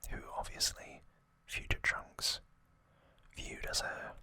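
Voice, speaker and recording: A host whispering an ASMR recap in a soft, unvoiced, unhurried voice with frequent pauses.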